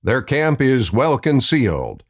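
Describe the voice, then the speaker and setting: A man's voice: medium pitch, very animated, slow. A man playing the solemn narrator of a Western radio serial, reading scripted dramatic narration.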